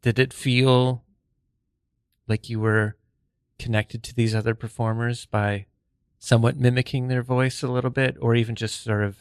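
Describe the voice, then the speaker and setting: A man in a casual group chat, an improv comedian who speaks haltingly and trails off as he thinks. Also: mid-range voice, flat delivery, clear, resonant tone, no echo, clean studio sound. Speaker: improv comedian teaching on a podcast.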